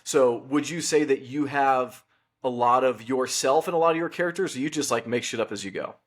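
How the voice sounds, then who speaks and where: A man's voice: mid-range voice, brisk. Young adult male host, affable and conversational, mid-range voice. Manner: friendly, chatty, laughs easily. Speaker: podcast host. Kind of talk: casual podcast interview.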